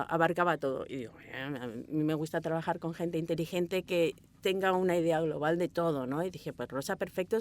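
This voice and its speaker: A woman with an accent from Spain, an audio fiction director telling rambling, animated stories in a casual interview. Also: deep voice, slight background noise. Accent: Spanish (Spain). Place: small recording booth.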